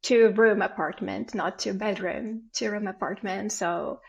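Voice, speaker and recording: A woman's voice: mid-range voice, measured pace, gravelly, lo-fi sound. A woman in her forties with a Ukrainian accent, a podcast guest telling stories in casual chat and acting out family exchanges. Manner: storytelling, acts out family dialogue.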